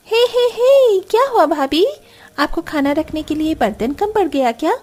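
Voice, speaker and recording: A woman's voice: extremely high pitch, animated, unhurried, a little mellow. A woman playing a girlish, carefree young sister-in-law in a cartoon, coaxing and demanding with exclamations. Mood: breezy. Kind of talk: Hindi cartoon story dialogue.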